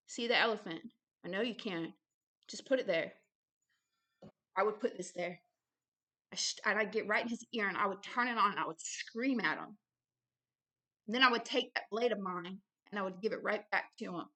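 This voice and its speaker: A woman's voice: medium pitch, normal speed, pauses often, pushed and bright. A woman ministry host giving religious testimony and commentary in an emphatic, blunt manner, repeating phrases to drive them home. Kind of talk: impassioned religious testimony, exhortation and commentary on a book read aloud.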